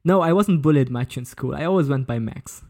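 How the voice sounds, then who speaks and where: A man's voice: mid-range voice, fairly quick, a little mellow. A man presenting a story on a casual comedy podcast, partly reading from notes. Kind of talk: casual presentation with read-out excerpts.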